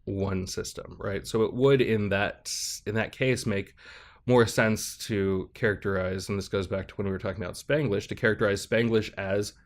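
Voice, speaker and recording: Guy with a lowish voice, young adult academic, calm explainer, keeps asking right?. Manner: measured, thoughtful, frequent "right?" checks. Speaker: linguistics professor guest. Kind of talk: explanatory interview talk.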